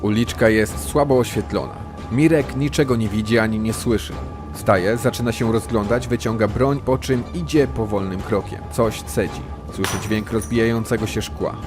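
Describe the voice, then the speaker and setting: Narrator, male: deeper register, scripted scene-by-scene storytelling for a crime audio drama, music underneath. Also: moderate pace.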